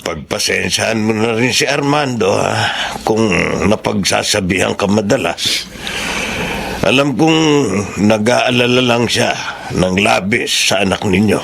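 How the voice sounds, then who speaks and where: A patient old Filipino grandfather. His gravelly voice is hesitant and gently reassuring, in radio drama style, over faint noise. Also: on the low side, unhurried. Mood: gentle, concerned. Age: older. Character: kindly, flustered elderly grandfather, patient father-in-law. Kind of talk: Tagalog radio drama acting.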